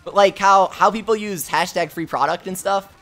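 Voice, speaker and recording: A man's voice: high-pitched, normal speed, fairly bright tone. A young man streaming live, reading chat messages aloud in an upbeat way, talking close to his mic. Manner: upbeat, reads chat aloud with frequent laughs. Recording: faint game music behind. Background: game music.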